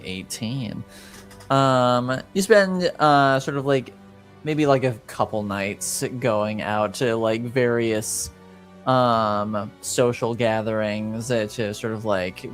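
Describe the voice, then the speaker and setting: A man improvising narration as game master of a tabletop game, halting often as he searches for words. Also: lowish voice, faint music behind.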